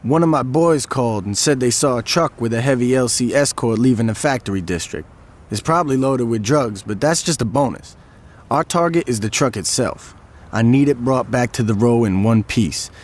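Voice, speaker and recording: An actor playing a calm, strategic gang planner who speaks in a dry, sarcastic, deliberate way in video game cutscenes. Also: male voice, mid-range voice, natural voice.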